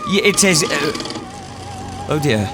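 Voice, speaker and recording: A man as a fretful, fluttery-pitched husband. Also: wildly swooping pitch, takes it slowly, over loud music, exaggerated voice. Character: timid, fretful, polite husband on a space safari. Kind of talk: comedic retro sci-fi audio drama acting.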